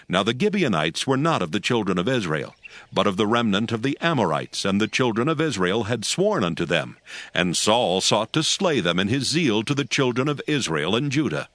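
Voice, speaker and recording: A man narrating dramatized scripture in a measured, expressive storytelling manner. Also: on the low side, no echo. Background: background music.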